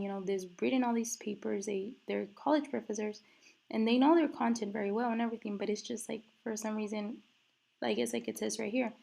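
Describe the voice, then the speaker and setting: Gravelly-voiced woman with a cough. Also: on the high side, a little mellow. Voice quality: gravelly fry. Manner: casual, with many fillers. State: recovering from illness, coughing. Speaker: student presenter. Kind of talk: reading from slides and explaining.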